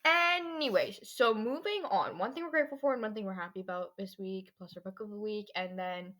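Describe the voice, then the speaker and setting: A teenage girl hosting her own podcast, chatting in a rambling way with lots of fillers while her voice is going hoarse. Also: mid-range voice, brisk, fairly bright tone. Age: teens. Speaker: podcast host. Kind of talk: casual solo podcast chat.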